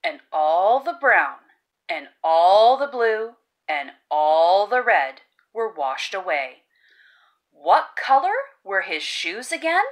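A woman reading a children's story aloud as host, expressively, with exaggerated exclamations and drawn-out key words. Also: medium pitch, very animated. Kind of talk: animated children's read-aloud.

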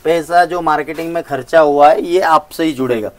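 A fairly high-pitched man in his thirties talks up his wares with emphatic, persuasive conviction. He walks and gestures through a large indoor showroom as he speaks. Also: fairly quick, no room sound. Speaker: saree wholesaler. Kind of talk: sales pitch in a shop interview.

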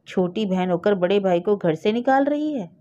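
A woman's voice: mid-range voice, animated, moderate pace, warm tone, muffled recording, lightly acted. A woman voicing a blustering, easily cowed elder brother in a village story, indignant and boastful.

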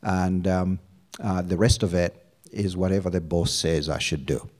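A middle-aged man from Uganda, a university provost, answering interview questions on a campus stage with a handheld mic, measured and full of fillers. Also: low voice, steady tone, a little mellow. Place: lounge stage before an audience. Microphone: handheld microphone.

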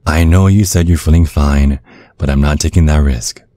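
A man playing a tender, protective vampire boyfriend in an ASMR roleplay, speaking in a soothing, coaxing, affectionate way with a husky voice. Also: rumbling low, moderate pace, warm tone, no room sound. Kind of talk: ASMR roleplay, intimate soft talk.

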